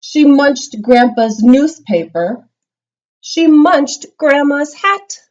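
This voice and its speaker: A woman teacher giving a read-aloud lesson to young children, explaining simply and reading with expressive emphasis. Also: sits high, very slow, highs cut off. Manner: instructional, simple explanations with check-ins. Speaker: primary school reading teacher.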